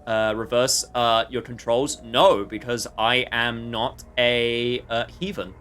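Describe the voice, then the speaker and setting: A man's voice: medium pitch, flat delivery, slow pace, fairly bright tone, slight background noise. Chatty male game streamer, mid-range voice. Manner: chatty, rambling, jokey asides. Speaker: video game livestreamer. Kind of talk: casual live game commentary with chat.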